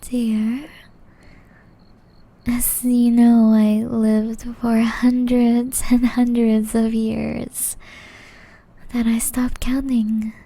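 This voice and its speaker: Soft, slow, whispery gentle witch played by a woman. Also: a little high, dry recording. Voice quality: soft, breathy, often dropping to a whisper. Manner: tender, slow, gently teasing. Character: gentle, centuries-old witch, caring, softly chiding healer. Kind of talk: ASMR roleplay, intimate scripted acting.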